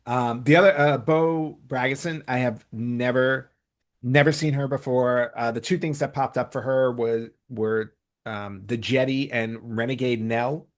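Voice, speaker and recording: A man's voice: medium pitch, steady tone, a little slow. Man hosting a casual, rambling podcast. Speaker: podcast host. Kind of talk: casual conversational podcast talk about entertainment news.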